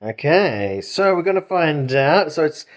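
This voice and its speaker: Lively, jokey male podcast co-host. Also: a little high, measured pace. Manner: chatty, jokey, hedging with restarts. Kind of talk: casual chat.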